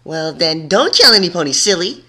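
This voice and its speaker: A performer voicing a bubbly, hyperactive young female cartoon pony who pouts and wheedles with drawn-out squeals. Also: medium pitch, big pitch swings, bright, forceful tone, pitched above the performer's own voice. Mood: wheedling. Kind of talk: cartoon character voice in fan fiction reading.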